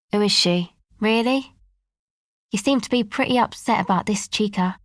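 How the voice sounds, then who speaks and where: A dry, close studio recording of a woman playing a streetwise young woman in a video game. She talks in a laid-back, slangy way with offhand hesitations, blunt yet sympathetic, at a medium pitch. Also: no echo, lightly acted. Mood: wry, probing. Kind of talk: naturalistic video game dialogue.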